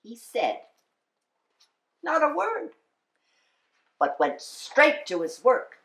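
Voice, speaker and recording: A woman reading a children's picture-book poem aloud as a storyteller, theatrical and deliberate, with dramatic pauses. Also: sits high, wildly swooping pitch, a bit bright. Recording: roomy, echoing voice recording.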